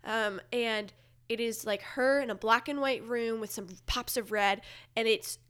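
A woman's voice: on the high side, fairly even intonation, a little slow, fairly bright tone, clear, resonant tone. A chatty, opinionated woman talking casually and comedically about pop culture as a podcast guest, with emphatic delivery.

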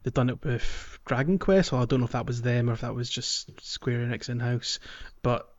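A man's voice: mid-range voice, normal speed, muffled recording. A man chatting casually and enthusiastically as a podcast host. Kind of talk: casual chat.

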